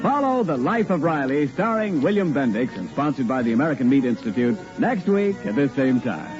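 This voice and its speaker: A man's voice: mid-range voice, sing-song, fairly quick. A man announcing a 1940s radio programme and reading its sponsor message. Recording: dull 1940s radio, faint music behind.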